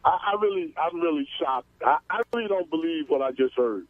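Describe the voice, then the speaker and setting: A man's voice: a little high, animated, fairly quick, no echo. A man calling a radio show by phone, talking casually and stammering as he repeats words. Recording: dull, dry phone line.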